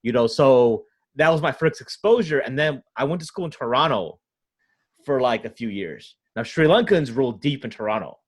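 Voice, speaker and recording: Chatty American host, mid-pitched man. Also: expressive, normal speed, slightly rough. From United States, Ohio. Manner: loose, filler-heavy, asks "right?" a lot. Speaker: podcast host. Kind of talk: casual conversation.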